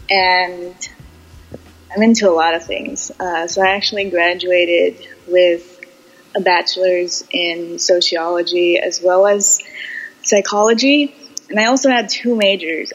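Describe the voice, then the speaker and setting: Hesitant young American woman, slightly muffled sound. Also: fairly low pitch. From United States, Boston and Houston. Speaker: podcast guest. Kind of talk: casual interview conversation.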